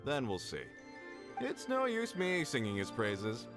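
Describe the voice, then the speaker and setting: A man's voice: huge pitch swings, a little slow. An actor playing a boastful, self-assured mine contractor who talks up his hired expert like a salesman. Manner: boastful, salesman-like, wide swings in pitch. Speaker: requester. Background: game music.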